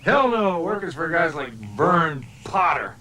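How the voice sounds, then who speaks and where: An actor playing a carefree, flirtatious golfer enjoying a fantasy life in a comedy film. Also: male voice, on the high side, very animated, measured pace, pushed and bright, heavily acted, rough recording. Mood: cocky.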